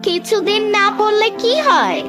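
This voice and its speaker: A performer voicing a playful, pleading little schoolboy in an animated cartoon, chirpy and insistent. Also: very high-pitched, moderate pace. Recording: music behind the voice. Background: background music.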